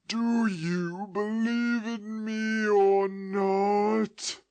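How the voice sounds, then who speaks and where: A mournful spirit shackled by heavy chains, lamenting his fate, as a man puts him on. The voice wails high and stretches each phrase out slowly. The storybook recording is dry and close. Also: little pitch movement, a little mellow, clear, resonant tone, dry recording, heavily acted. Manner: slow, drawn-out, lamenting. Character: wailing chained male ghost, doomed, mournful.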